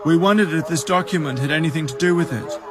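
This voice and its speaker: Higher-pitched male news reporter. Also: fast, music in the background. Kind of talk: scripted news narration.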